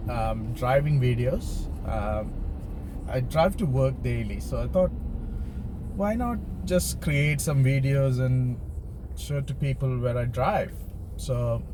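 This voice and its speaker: Slow, halting man chatting over car noise. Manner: halting and hesitant, trailing into pauses. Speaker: driving vlogger. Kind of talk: casual unscripted chat to viewers. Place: inside a car while driving. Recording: noisy car interior with road rumble. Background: steady car and traffic noise.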